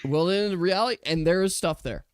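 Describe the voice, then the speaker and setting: A man's voice: high-pitched, fast talker, clear, resonant tone, no echo, clean studio sound. A man speaking as a game master, chatting casually and explaining things with emphatic repetition. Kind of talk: casual chat after a tabletop game session.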